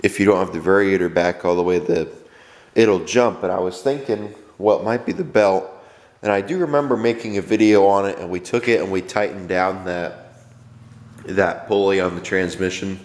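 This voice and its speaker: Deep-voiced man with a stuffy cold. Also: moderate pace, darkish tone, long reverb tail. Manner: rambling, stops and restarts mid-sentence. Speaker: lawn tractor vlogger. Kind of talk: casual talk to camera. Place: workshop.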